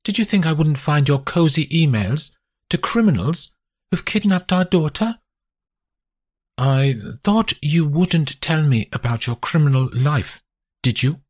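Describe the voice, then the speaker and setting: A betrayed wife and mother confronts her husband, her voice lifted high and strained with fury, as a man reading the story puts her on. The tone is husky and swings widely. It sounds like a storybook scene told aloud. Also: lively intonation, a little slow. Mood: furious. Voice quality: voice raised and strained with anger.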